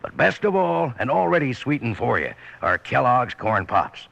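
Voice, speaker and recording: Folksy old western american cowboy announcer, gravelly, super animated, selling on old radio. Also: male voice, medium pitch, moderate pace, lo-fi sound, exaggerated voice. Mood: hearty, folksy. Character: old Panhandle Jim, folksy hearty cowboy pitchman. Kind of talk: 1950s radio advertising pitch.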